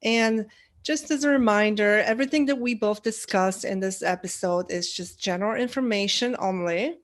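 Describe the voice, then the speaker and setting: A woman's voice: medium pitch, normal speed. A young woman hosting a podcast from home into a desk mic, upbeat and friendly. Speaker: podcast host. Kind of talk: podcast interview. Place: home room. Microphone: desk microphone.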